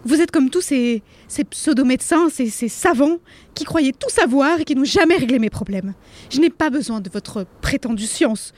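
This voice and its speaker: A woman's voice: sits high, animated, moderate pace, a little mellow, no echo. An actress playing a curt, defensive woman who works in care-home leisure, in an audio drama. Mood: angry. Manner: guarded, impatient.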